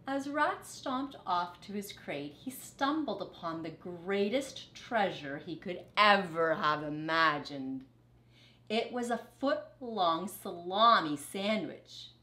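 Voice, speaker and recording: A woman's voice: lowish voice, animated, slow pace, bright, forceful tone. A middle-aged woman reads a children's story aloud with dramatic, teacherly phrasing. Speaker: storybook reader. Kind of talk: expressive children's read-aloud. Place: living room, on a couch. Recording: echoey living room.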